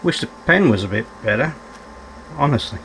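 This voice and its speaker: A man's voice: medium pitch, lively intonation, normal speed, creaky, a bit bright, low-quality recording. Bloke narrating a repair as he goes, really up and down intonation, muttering questions to himself, bit of background noise. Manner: musing aloud, asking himself questions. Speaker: electronics repairer presenting a repair video. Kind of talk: unscripted running commentary while working.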